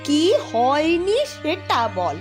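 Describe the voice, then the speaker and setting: An excitable old village granny, put on by a woman for a cartoon. Her voice is very high and she fusses and exclaims, with music behind. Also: takes it slowly, exaggerated voice. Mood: agitated, fussing. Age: older. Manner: exclamatory, fussing.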